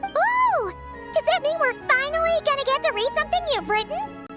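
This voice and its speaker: A childlike little fairy companion for a video game. The voice is squeaky and extremely high, swinging widely in pitch. The fairy is curious, blunt and excitable, with prominent music playing behind. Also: female voice, moderate pace. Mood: excited. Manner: blunt, questioning, exclaiming. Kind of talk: video game character acting.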